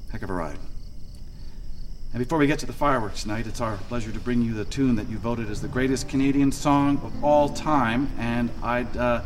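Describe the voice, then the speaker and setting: A man's voice: medium pitch, a little hiss or hum, natural voice. Actor as brash, impassioned man, outdoor ambience. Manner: cocky, profane, impassioned in private, folksy showman on stage. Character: brash foul-mouthed man, head of a celebration foundation, lovestruck. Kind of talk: satirical audio drama acting.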